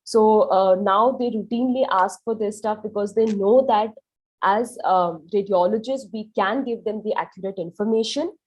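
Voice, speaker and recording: Young Indian-accented woman, sore-throat harshness, mid-pitched, lecturing calmly on a video call. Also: moderate pace, breathy edge, rough recording. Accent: Indian English. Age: young adult. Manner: explanatory, with "um" and "you know" fillers. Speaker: guest radiologist presenting. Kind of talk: medical presentation.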